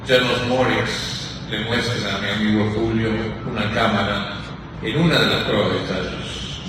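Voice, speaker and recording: An Argentine man giving a public talk as an author, speaking haltingly and reflectively and searching for his words. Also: on the low side. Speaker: explorer and author presenting his book. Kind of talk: public talk, book presentation. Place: large reverberant indoor room, recorded from a distance. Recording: very echoey hall, far from mic, noisy.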